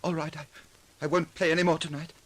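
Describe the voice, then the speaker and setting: An actor playing a frightened, weak-willed musician in a 1940s radio drama, halting, trembling and nervously pleading. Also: male voice, fairly high pitch, level tone, a bit bright. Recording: hissy, clicking 1940s radio recording.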